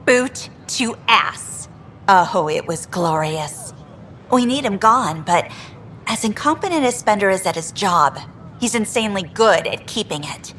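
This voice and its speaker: A woman's voice: medium pitch, lively intonation, measured pace, a bit bright, a little hiss or hum. An actress playing a frustrated female station worker who vents with exasperation and dry humor. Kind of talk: video game dialogue.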